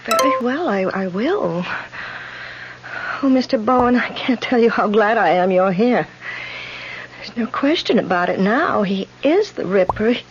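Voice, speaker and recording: A woman's voice: a little high, husky, exaggerated voice. An actress playing an anxious, suspicious landlady in an old-time radio drama, flustered and stammering as she talks. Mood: relieved, fearful. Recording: muffled, noisy old radio recording.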